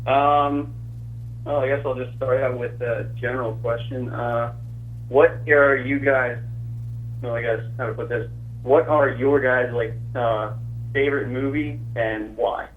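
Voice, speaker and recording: A man calling in to a talk show, hesitant and halting as he asks and answers questions. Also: medium pitch, fairly even intonation, a little hiss or hum. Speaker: caller. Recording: tinny phone line.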